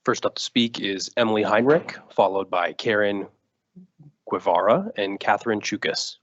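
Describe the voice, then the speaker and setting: A man chairing a public meeting, briskly reading procedural rules and calling up speakers. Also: lowish voice, fairly bright tone. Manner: brisk, official. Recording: dull lo-fi meeting audio.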